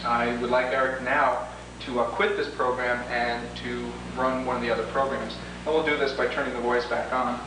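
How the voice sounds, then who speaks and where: A man giving a measured, slightly formal, explanatory demonstration of computer software, as a product demonstrator. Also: mid-range voice, little pitch movement. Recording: distant, echoey, noisy 1980s demo tape with hum.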